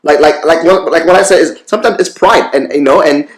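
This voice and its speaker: A man's voice: fairly high pitch, fairly quick. A man co-hosting a faith podcast, exhorting listeners in a preacherly way and repeating his key lines. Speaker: podcast co-host. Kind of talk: faith-based podcast conversation. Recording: roomy echo.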